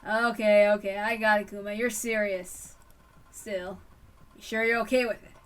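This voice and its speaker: A woman's voice: mid-range voice, steady tone, moderate pace, recorded from a distance, natural voice. A woman voicing a wry older male detective who cautions emphatically, in a story reading. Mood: serious, checking.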